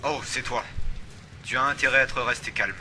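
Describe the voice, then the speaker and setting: A man's voice: mid-range voice, normal speed, background noise, close to an everyday voice. A man in an amateur French anime dub playing a stern, protective mentor. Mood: bitter.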